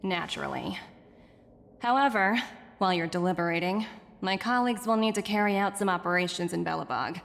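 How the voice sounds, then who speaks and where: A woman voicing a poised, businesslike young corporate envoy in a video game, speaking in a formal, measured, polite way. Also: lowish voice, vocal fry, in a large hall. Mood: composed, firm. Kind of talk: scripted video game dialogue.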